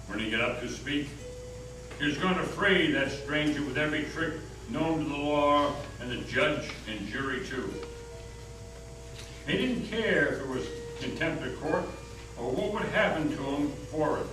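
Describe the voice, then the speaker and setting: Slow-paced male storyteller in echoing hall. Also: fairly high pitch, over music. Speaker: narrator. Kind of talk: dramatic reading of a story. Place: meeting house hall. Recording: echoey hall from far off, noisy.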